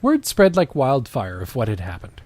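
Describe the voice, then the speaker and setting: A man's voice: medium pitch, wildly swooping pitch, moderate pace, darkish tone, dry recording. A man doing a comedic reading with casual riffing in a wry, sweary way. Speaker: co-host and reader.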